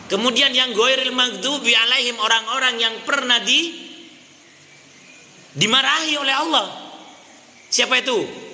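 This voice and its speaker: Bright-voiced preacher in a reverberant hall. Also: male voice, high-pitched. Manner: addresses listeners as Pak, asks the crowd questions; chants Quranic verses slowly. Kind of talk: mosque sermon. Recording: hall reverb, faint noise.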